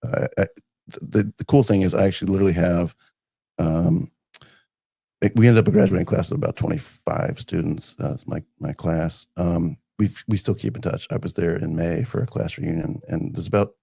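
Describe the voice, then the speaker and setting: Brief: fifties man, very low, gravelly and husky, rambling interview guest, dry close sound. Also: steady tone, moderate pace, warm tone, dry recording. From northern New Jersey, United States. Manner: rambling, with many fillers and restarts. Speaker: guest, community foundation director. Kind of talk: casual conversational storytelling.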